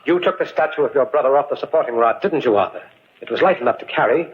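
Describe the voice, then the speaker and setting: An actor playing a calm, deductive detective in a 1940s radio mystery, speaking gravely and deliberately. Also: male voice, medium pitch, close to an everyday voice. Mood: accusing. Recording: noisy, muffled vintage radio recording.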